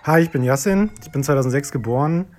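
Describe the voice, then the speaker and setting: A man's voice: medium pitch, quick pace, over music, lightly acted. A man in his thirties playing a farmer short of water, speaking in a plain, earnest way. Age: 30s.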